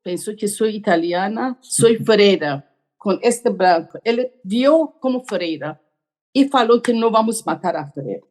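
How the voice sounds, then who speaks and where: A woman's voice: medium pitch, expressive, a little slow, a little mellow. A woman, a podcast guest who tells her story earnestly in simple, broken phrases. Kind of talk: conversational storytelling.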